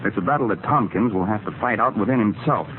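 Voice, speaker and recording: A man playing an earnest expert on troubled minds, gravely explaining in 1940s radio style. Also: fairly low pitch, fast, natural voice. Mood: grave explanation. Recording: tinny old radio recording, faint noise.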